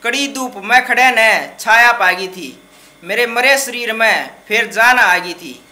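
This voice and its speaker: Young haryanvi guy doing shayari at an open mic, really sharp forceful voice, pretty high. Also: slow pace. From Haryana, India. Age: 20s. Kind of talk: shayari poetry recital. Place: small dark indoor open-mic stage. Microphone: microphone on stand close in front.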